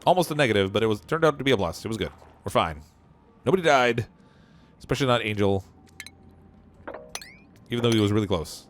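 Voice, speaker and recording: A man's voice: on the low side, expressive, brisk, pauses often, faint music behind. A man streaming a game, rambling as he thinks aloud and chuckling often, his voice wearing out. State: losing his voice. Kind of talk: casual game livestream commentary.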